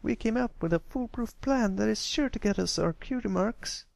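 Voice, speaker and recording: A man voicing a sweet, excitable little filly pony with a bubbly, chirpy manner in a cartoon fan story. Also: high voice, normal speed, creaky, no echo, pitched above the performer's own voice. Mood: excited.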